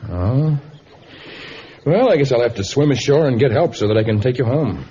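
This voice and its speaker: An actor playing an earnest, gentle jungle hero with a courteous, halting manner in a vintage radio drama. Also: male voice, medium pitch, lively intonation, lightly acted. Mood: puzzled, concerned. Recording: dull, hissy vintage radio recording.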